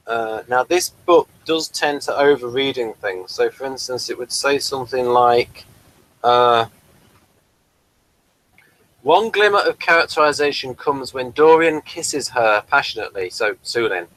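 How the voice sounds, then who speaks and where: Man, bright forceful voice, medium pitch, casual review discussion, co-host. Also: low-quality recording.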